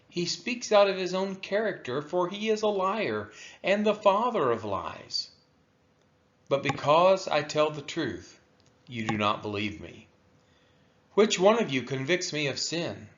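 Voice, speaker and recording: Slow, expressive man reading scripture aloud. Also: on the high side, lo-fi sound. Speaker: scripture reader. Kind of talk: solemn scripture read-aloud.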